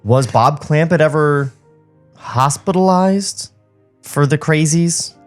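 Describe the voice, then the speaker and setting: A man's voice: a little high, takes it slowly, soft background music. A man co-hosting a podcast, narrating script stage directions and dropping casual joking asides, on a studio microphone.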